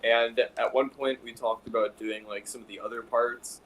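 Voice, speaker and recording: A man speaking as a podcast guest, explaining things casually in a slightly hesitant way, with pauses and hedges. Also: mid-range voice, steady tone, a bit bright, poor audio. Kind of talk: casual chat. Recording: clear background noise.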